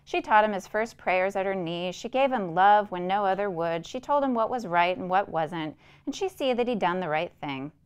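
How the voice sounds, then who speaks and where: A woman's voice: medium pitch, expressive, normal speed, hardly any pauses, clear, resonant tone, lightly acted. A woman voicing a sentimental middle-aged rural Southern man who preaches in a folksy, self-pitying way.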